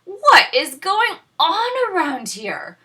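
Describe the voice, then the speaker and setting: A woman puts on a heedless little storybook girl. The voice is high, bright and childlike, swinging widely as she exclaims. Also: huge pitch swings, takes it slowly, heavily acted. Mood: exhausted complaint.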